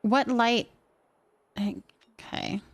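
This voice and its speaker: A woman chatting casually on a livestream as host, musing indecisively out loud while she decorates. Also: a little high, steady tone, extremely slow, no room sound.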